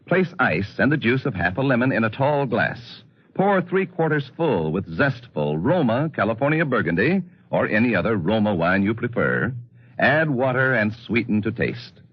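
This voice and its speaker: Husky, animated American radio announcer man. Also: mid-range voice. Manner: polished, emphatic sales delivery. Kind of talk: 1940s scripted radio sponsor announcing. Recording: dull, slightly husky 1940s radio recording.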